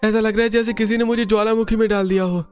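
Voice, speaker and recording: A man puts on a young man of a cartoon household, high and excitable. He is dramatic and easily flustered, on slightly muffled audio with music underneath. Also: fast, clear, resonant tone, lightly acted. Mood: overheated, complaining. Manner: exclaiming, hesitant stammering when pressed.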